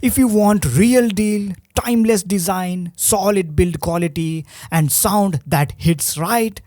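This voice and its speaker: Slow, bright-voiced scripted narrator. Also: medium pitch, no room sound, clean studio sound. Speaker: presenter of a radio review video.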